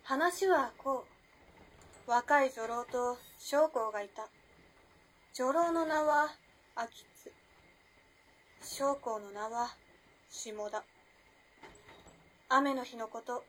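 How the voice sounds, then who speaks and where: A woman's voice: on the high side, drawn-out words, pauses often, a bit bright, a little hiss or hum, low-quality recording. A woman playing a self-possessed, teasing modern-girl storyteller in a radio drama, with breezy, ladylike phrasing. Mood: hushed storytelling.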